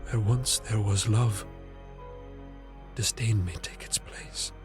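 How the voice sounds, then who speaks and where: A man's voice: fairly low pitch, fairly even intonation, slow pace. An actor playing a brooding, suspicious young male knight in an audio drama. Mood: brooding, intent. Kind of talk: audio drama acting with brooding read-aloud monologue. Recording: music under the voice.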